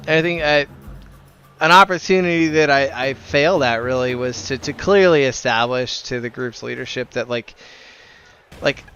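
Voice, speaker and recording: A man's voice: mid-range voice, pushed and bright, dull audio. A man who leads a gaming group, talking casually while streaming a game, earnest and rambling, with some casual swearing.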